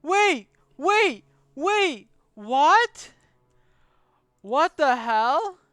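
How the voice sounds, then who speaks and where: High-voiced, sweary young woman game streamer. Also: extremely slow, no echo, clean studio sound. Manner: excitable, sweary, reactive. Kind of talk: casual livestream gameplay commentary.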